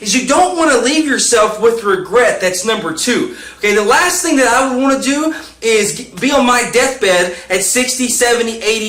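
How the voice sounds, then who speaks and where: A man in his late twenties giving quick motivational business tips to camera, upbeat and pushy, checking in with "okay?" as he goes. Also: high-pitched, pushed and bright, roomy sound. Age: 20s.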